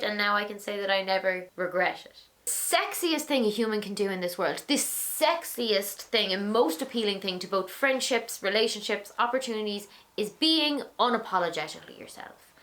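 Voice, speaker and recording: A young Irish woman in her twenties, hosting a vlog and answering viewer questions in a frank, animated, chatty way. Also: mid-range voice. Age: 20s. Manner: animated, acts out little voices, frank and chatty. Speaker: vlogger, host of an advice series.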